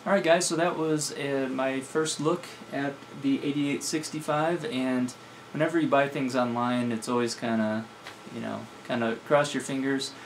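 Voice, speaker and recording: A man's voice: mid-range voice, a little hiss or hum, rough recording. A man in his thirties giving a casual hands-on tech demonstration as a video host. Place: home lab room, indoors.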